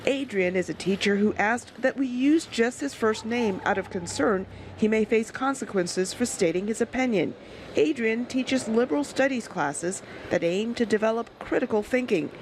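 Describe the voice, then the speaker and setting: A woman reporter reading scripted news narration in a measured, even delivery. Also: on the high side, slight background noise.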